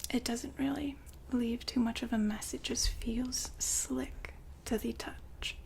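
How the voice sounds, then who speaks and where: A woman playing the poised, businesslike madam of a futuristic establishment in an ASMR roleplay, whispering softly and formally to a client. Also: a little high, fairly even intonation. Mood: smooth, attentive. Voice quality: soft, breathy whisper. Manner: polite, formal, unhurried saleswoman patter.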